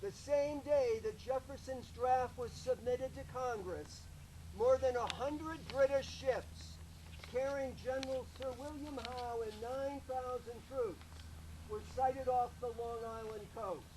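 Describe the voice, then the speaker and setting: A man speaking to a gathered audience at a public ceremony, giving a loud, folksy history talk and joking with the crowd. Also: very high-pitched, steady tone, a little slow, a little hiss or hum, poor audio.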